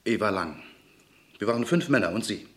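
A man's voice: fairly low pitch, no room sound. Cold-blooded vengeful man. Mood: earnest. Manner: calm, measured inner monologue. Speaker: narrator and lead character. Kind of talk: radio play acting.

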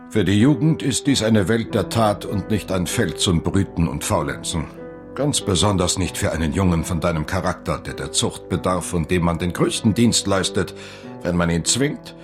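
Stern stepfather for radio drama, male actor: lowish voice, formal, measured, chilly authority, music underneath. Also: lightly acted. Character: cold, stern, domineering stepfather of a young boy. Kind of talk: German radio drama acting.

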